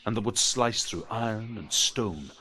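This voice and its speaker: Deep, grave saga storyteller. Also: male voice, lively intonation, unhurried, bright, forceful tone, poor audio. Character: grave male storyteller of Norse legends. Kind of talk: scripted mythic storytelling in a game.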